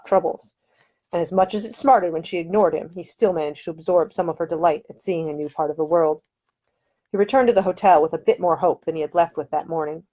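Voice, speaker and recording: A woman's voice: lowish voice, mellow. A woman reading her own historical novel aloud as scripted audiobook narration.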